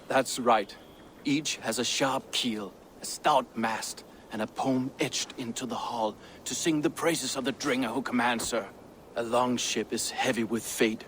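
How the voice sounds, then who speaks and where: A man's voice: mid-range voice, slight background noise, close to an everyday voice. A man playing a loyal, poetic Viking shipbuilder in a video game, with an earnest, lyrical delivery. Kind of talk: dramatic video game acting.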